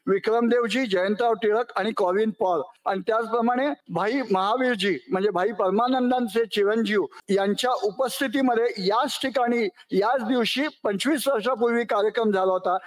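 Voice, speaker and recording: A man's voice: sits high, rough recording. A man giving a formal, ceremonious speech at a commemorative event. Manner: earnest, ceremonious, full of honorifics.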